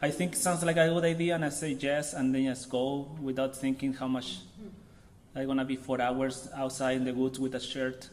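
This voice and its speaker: A man's voice: mid-range voice, little pitch movement, a little hiss or hum. Chilean man in his thirties giving an artist talk. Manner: halting, informal, searching for words. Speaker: visiting artist.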